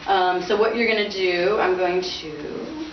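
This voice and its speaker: A woman's voice: medium pitch, steady tone, measured pace, dull audio. A woman author speaking at a bookstore reading, in the style of an informal book event. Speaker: author reading from own novel. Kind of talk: informal book talk and dramatic prose reading. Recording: roomy, distant, noisy bandlimited event recording.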